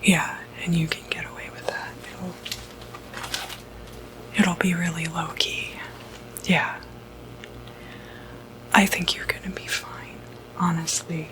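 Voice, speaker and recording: Slow whispering ASMR woman. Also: lowish voice, level tone, low-quality recording. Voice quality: breathy, unvoiced whisper. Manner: soft-spoken, unhurried, hesitant pauses. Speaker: ASMR performer role-playing a doctor. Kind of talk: whispered ASMR medical roleplay.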